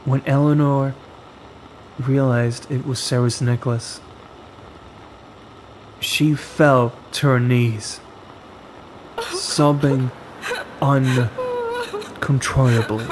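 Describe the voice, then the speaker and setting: A man narrates a horror story at an extremely slow pace, breaking his sentences into short, dramatic pieces with deliberate pauses. His pitch sits in a middle male range. A faint, steady hiss and hum runs behind his voice. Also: low-quality recording.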